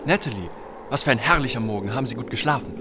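An actor playing a pedantic, curious gentleman bird enthusiast, formal and scholarly, in a German audio drama. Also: medium pitch, expressive, rapid-fire, noisy background, natural voice. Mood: enthused.

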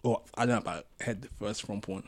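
A man's voice: on the low side, moderate pace, no room sound. A man co-hosting a casual podcast discussion, hesitantly thinking aloud as he talks. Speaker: podcast co-host.

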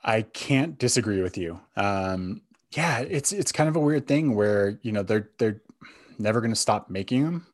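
A man's voice: lowish voice, lively intonation, normal speed, no room sound, studio quality. A man hosting a podcast in casual chat about entertainment, speaking in a hesitant, think-aloud way. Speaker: podcast host.

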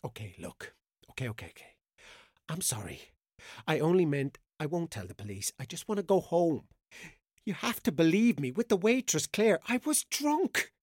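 A man's voice: a little high, very animated, normal speed, darkish tone, dry recording, clean studio sound. A man playing a frightened captive in a radio drama, with an agitated, defensive, pleading delivery. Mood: apologetic, defensive.

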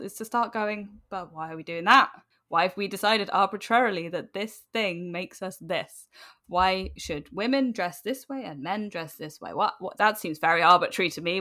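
A British woman in her late twenties talking candidly and informally as an interview guest, with an animated, emphatic manner. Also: medium pitch. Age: late 20s. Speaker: podcast guest, writer.

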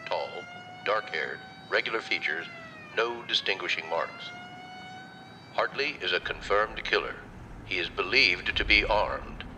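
An actor playing a grave radio newscaster reading an urgent, formal police bulletin in an old-time drama. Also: male voice, fairly low pitch, a little slow, noisy background, lightly acted. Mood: stern warning.

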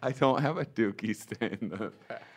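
A man playing a raspy bride-to-be in a prank call, rambling and flustered, using his own low voice. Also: moderate pace. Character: bride-to-be, a woman in a man's voice.

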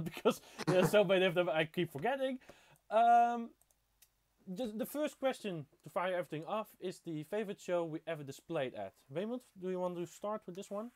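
Young male podcast host, slightly high voice. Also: a little mellow. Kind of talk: live hobby podcast hosting, casual.

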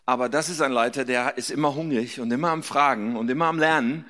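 A man's voice: medium pitch, fast, fairly bright tone. A man hosting a church service, giving an effusive, emphatic and casual stage introduction. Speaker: church host introducing preacher. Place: large church hall. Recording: echoey hall with long reverb.

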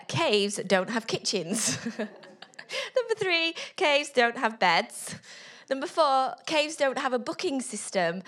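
Middle-aged woman on stage w a handheld mic, kinda high voice, laughy storytelling talk to a crowd. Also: a bit bright. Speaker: conference speaker. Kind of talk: inspirational talk to a live audience. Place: stage in front of an audience.

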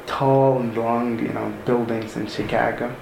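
A man in his thirties from Sudan, softly recalling his move abroad in an unhurried way with many pauses. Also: mid-range voice, flat delivery, vocal fry. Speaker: interviewee. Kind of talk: casual personal recollection. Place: room in a mosque. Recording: echoey room with ambient noise.

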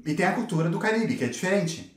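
Fast-talking male host reading viewer questions. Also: high-pitched, animated, echoey room. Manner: reads viewer questions, chatty and curious. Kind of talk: casual interview.

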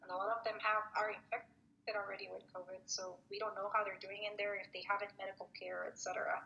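A woman's voice: mid-range voice, little pitch movement, fast, creaky, sharp tone, a little hiss or hum. Give me a Mexican-born woman in her thirties, a native Spanish speaker, talking over a dull phone line. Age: 30s. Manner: reflective, with many fillers and restarts. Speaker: poet and student advisor. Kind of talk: remote interview answers.

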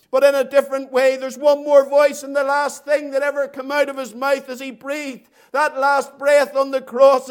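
A man preaching an impassioned evangelistic sermon, repeating phrases emphatically and drawing them out for dramatic weight. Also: very high-pitched. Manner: emphatic, repetitive, dramatic delivery with drawn-out phrases.

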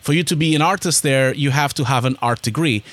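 A man, a podcast guest in casual interview chat who tells his story in an animated, rambling way with many restarts. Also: fairly high pitch, brisk, no room sound. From Portugal.

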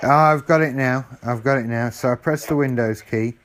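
A man's voice: mid-range voice, a bit bright. Muddled, apologetic man with faint background noise. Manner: feigned slow, apologetic and muddled. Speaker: call recipient stringing a scammer along. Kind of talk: casual phone conversation. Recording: phone call recording with faint noise.

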